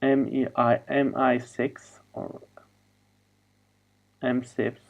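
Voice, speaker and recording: A man whose first language is Spanish, talking in a halting, wandering monologue over a video call. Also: mid-range voice, level tone, creaky, muffled recording. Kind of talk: rambling monologue.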